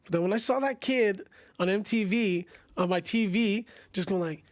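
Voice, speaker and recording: High-voiced raspy young man, casual. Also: moderate pace, no echo. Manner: casual, animated, says bro and man a lot. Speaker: singer and songwriter guest. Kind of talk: casual chat.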